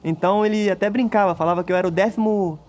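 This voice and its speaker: A man's voice: sits high, fairly even intonation, rapid-fire, darkish tone. A man who worked in the team's kit room, recalling his years in a hesitant way and repeating words as he searches for them. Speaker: former team kit room assistant. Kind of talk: reminiscing documentary interview.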